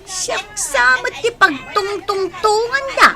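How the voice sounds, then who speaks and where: An actress playing an indignant village woman with an Ilocano accent, shrill and exclamatory in a comedy radio drama. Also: takes it slowly, vocal fry, over music, full character voice. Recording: busy outdoor crowd sound behind.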